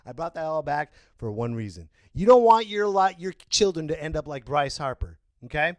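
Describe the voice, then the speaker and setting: Podcast host, male, animated rant voice, swings wide in pitch, close dry sound. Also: moderate pace, dry recording. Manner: loud, animated ranting with sudden yells. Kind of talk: opinion rant.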